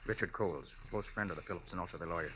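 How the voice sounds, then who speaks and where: An actor playing a shrewd, decisive private detective in 1940s radio drama style, with a brisk, commanding, clipped manner, on an old recording. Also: male voice, low-pitched, bright, forceful tone, a little hiss or hum. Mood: brisk, assured.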